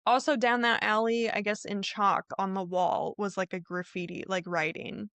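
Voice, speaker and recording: A woman's voice: medium pitch, fairly even intonation, normal speed, fairly bright tone, no room sound. Casual woman podcast storyteller, close and dry. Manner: informal, conversational, hedging as she retells. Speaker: podcast host.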